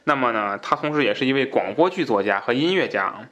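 Fast-talking man hosting a podcast. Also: medium pitch, animated, a bit bright, highs cut off. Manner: conversational, explanatory, repeats himself for emphasis. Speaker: podcast host. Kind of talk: casual book discussion.

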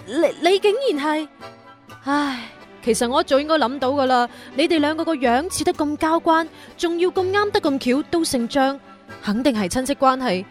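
Curious young hong kong woman in a cantonese radio drama, high voice, faint music under it. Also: lively intonation, normal speed. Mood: surprised. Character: young single woman, curious, expressive and friendly.

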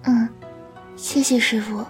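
A woman playing a gentle, affectionate young disciple and elder martial sister in a Chinese period audio drama, with a tender, coaxing manner. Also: on the high side, steady tone, takes it slowly, soft background music. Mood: obedient.

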